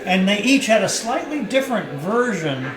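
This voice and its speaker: A man's voice: high-pitched, sing-song, moderate pace, a crowd in the background. A man reading the part of an earnest, probing friend in a novel, with a questioning manner. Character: earnest, probing, mildly annoyed male friend. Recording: echoey roomy live reading.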